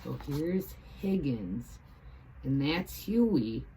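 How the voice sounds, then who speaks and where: A woman reading a children's storybook aloud expressively, with emphatic pauses between phrases. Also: deep voice, big pitch swings, warm tone, slight background noise, low-quality recording. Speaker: teaching assistant reading to children.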